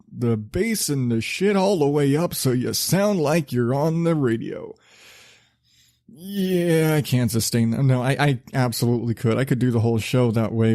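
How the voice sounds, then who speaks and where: A man hosting his own podcast, talking in a loose, rambling, self-deprecating way with a relatively low voice, laughing and swearing casually. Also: warm tone, dry recording, studio quality. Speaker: podcast host.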